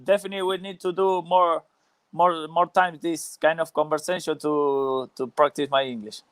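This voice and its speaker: Clear-voiced man, halting English. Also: fairly high pitch, fairly even intonation, a bit bright, dry recording. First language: Spanish. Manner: halting, searching for words, repeats phrases. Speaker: former professional footballer, interview guest. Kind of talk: casual live interview.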